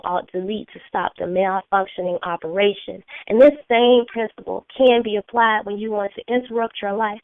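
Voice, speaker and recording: A woman's voice: medium pitch, no echo. A woman hosting a motivational call, talking earnestly in a slightly scratchy voice from a sinus infection. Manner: earnest, coaching, repeats key phrases. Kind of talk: motivational talk on a phone call. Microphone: phone conference line. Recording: dry tinny phone line.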